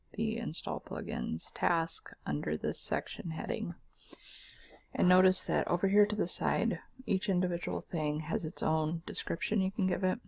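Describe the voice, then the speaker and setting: A woman with a scratchy, husky voice giving a casual, chatty walkthrough of a software tool on her screen, with fillers and self-corrections. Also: fairly low pitch, no echo. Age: adult. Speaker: screen-share software demonstrator.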